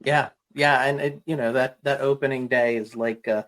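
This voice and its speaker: A man hosting a podcast, chatting in an easygoing, relaxed way. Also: mid-range voice, normal speed. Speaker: podcast host. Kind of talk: casual chat.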